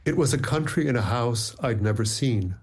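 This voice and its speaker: A man whose first language is Ukrainian, a poet speaking thoughtfully and reflectively in measured phrases as an interview guest. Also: medium pitch, lively intonation, darkish tone, husky. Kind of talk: thoughtful conversation and poetry reading.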